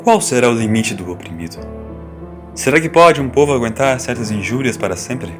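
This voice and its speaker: A man acting as the solemn narrator of a science-fiction audio drama, measured and portentous. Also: mid-range voice, lively intonation, lightly acted. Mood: grave, questioning. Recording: voice over background music. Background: music.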